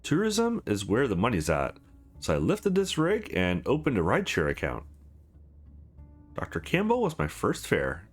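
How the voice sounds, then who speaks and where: A man's voice: lowish voice, huge pitch swings, normal speed, slightly rough, soft background music, full character voice. A man voicing a streetwise young woman driver in a visual novel, frank, scrappy and matter-of-fact.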